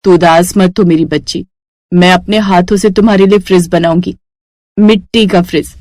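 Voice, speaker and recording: A woman voicing a gentle, determined potter's wife and mother in a children's story, earnest and affectionate. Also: lowish voice, fairly even intonation, normal speed, no room sound, clean studio sound, lightly acted. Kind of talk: children's moral story acting.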